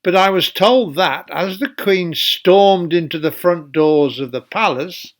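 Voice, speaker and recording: A man with a slightly high voice tells a children's Bible story at a very slow, deliberate pace. He addresses young listeners directly, in the style of a church storyteller reading aloud.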